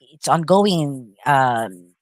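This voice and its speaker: A middle-aged woman with a Filipino accent answers questions in an interview. Her voice sits low and sounds dry and close. She speaks haltingly, pausing often to find her words. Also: animated, dry recording. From Philippines. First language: Tagalog. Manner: thoughtful, hesitant with many uhs. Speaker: actress, interview guest.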